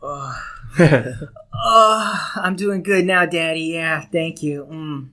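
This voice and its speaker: A man's voice: on the high side, slow pace, pushed and bright. A man co-hosting a podcast with casual comedic banter and crude, profane joking. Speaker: podcast co-host.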